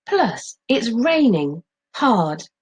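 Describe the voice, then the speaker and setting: A woman's voice: fairly high pitch, very animated, drawn-out words, a little mellow, slightly rough, voice pushed up, full character voice. A woman voicing an eager, defiant young Stone Age girl from a storybook, earnest and pleading.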